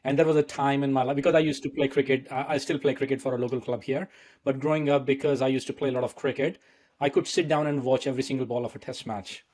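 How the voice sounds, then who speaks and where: A man's voice: medium pitch, fairly even intonation, fast talker, a little mellow. A man from India, hosting a podcast and asking friendly, chatty questions. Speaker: podcast host. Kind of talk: casual podcast interview.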